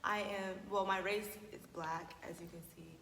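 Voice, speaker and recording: A woman's voice: mid-range voice, fairly even intonation, moderate pace, creaky, fairly bright tone, slight background noise. A woman of twenty, a panel guest, talking with emphatic conviction. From United States. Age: 20s. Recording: echoey room with some reverb.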